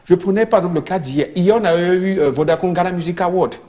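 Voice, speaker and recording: A man's voice: high voice, fast talker, darkish tone. Male panel guest, talks up, voice rises as he argues. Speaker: talk show guest. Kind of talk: studio panel discussion.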